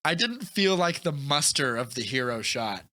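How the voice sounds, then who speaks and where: A man co-hosting a casual movie podcast, retelling scenes in an animated way and acting out quotes as he goes. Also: medium pitch, moderate pace. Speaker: podcast co-host.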